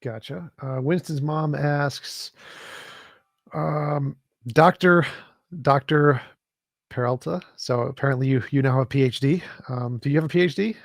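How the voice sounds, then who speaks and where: A relaxed man lays out his views in a casual podcast chat and reads viewer questions aloud. His voice sits at a middle pitch, and the recording is dry, with no room sound. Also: a little slow, creaky, no room sound. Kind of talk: casual conversation.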